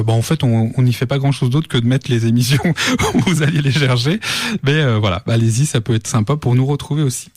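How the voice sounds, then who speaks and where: A man's voice: mid-range voice, darkish tone, no echo. Man hosting radio, dry studio sound. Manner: informal, chatty, addresses listeners and guests directly. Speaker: radio talk show host. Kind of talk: casual live radio hosting. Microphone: close studio microphone.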